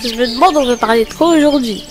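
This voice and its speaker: A woman playing a curious young schoolgirl in a cartoon, with a very high voice. She sounds confident and inquisitive in a simple delivery, over faint music. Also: fast talker, close to an everyday voice. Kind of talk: children's animated film dialogue.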